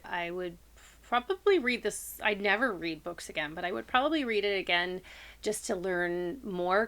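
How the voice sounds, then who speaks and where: A woman's voice: on the low side, vocal fry, fairly bright tone. A woman podcast co-host giving a casual, personal review of a book.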